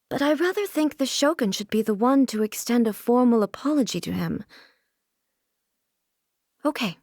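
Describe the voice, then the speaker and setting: A woman's voice: fairly high pitch, no echo, clean studio sound. A woman playing a stern, regal deity ruler in a video game, speaking in a formal, composed, stately way. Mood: musing, reserved. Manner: formal, stately, measured delivery. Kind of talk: scripted video game character voice lines.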